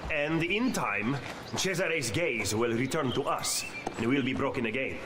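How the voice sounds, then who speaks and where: Wary Renaissance schemer, played by a man, lively intonation. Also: mid-range voice, background noise, close to an everyday voice. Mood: skeptical. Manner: measured, formal, doubtful. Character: wary, skeptical Renaissance Italian political schemer. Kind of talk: video game cutscene acting. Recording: game audio under loud music.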